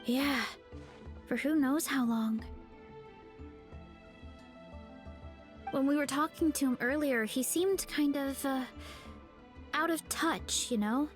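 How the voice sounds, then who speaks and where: High-voiced easygoing young adventurer girl. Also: unhurried. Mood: thoughtful. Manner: casual, thinking aloud. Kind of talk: scripted video game voice acting. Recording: music behind.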